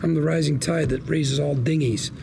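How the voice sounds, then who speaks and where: Glib, gravelly con-artist lawyer. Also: male voice, on the high side, fairly even intonation, normal speed, noisy background. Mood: scheming, emphatic. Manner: glib, persuasive patter with colourful slangy quips. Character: fast-talking male con-artist lawyer, slick, cajoling, schemer. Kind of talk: screen drama script read at a table read.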